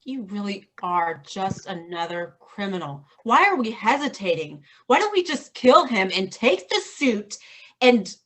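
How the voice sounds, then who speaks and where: A woman's voice: medium pitch, sing-song, unhurried, a bit bright. A woman playing an indignant, commanding vigilante who accuses others, in a staged play reading. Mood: outraged.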